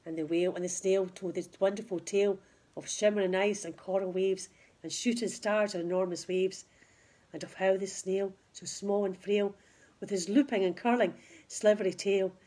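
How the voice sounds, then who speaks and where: A woman's voice: medium pitch, fairly even intonation, moderate pace, mellow. A woman reading a rhyming picture book aloud to children like a teacher, with emphatic, dramatic phrasing. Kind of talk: expressive children's storytelling in rhyme.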